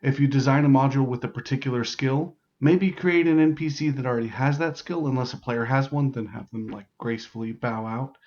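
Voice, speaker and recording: A man's voice: mid-range voice, a little fast, hardly any pauses, darkish tone. Man giving a casual tutorial, dull lo-fi sound. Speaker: host explaining how to write larp game modules.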